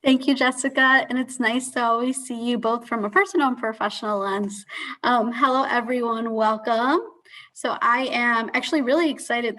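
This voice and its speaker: A woman in her thirties speaking as a panelist on a video call, earnest and measured, talking through her career in a conversational way. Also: on the high side, fairly bright tone, poor audio.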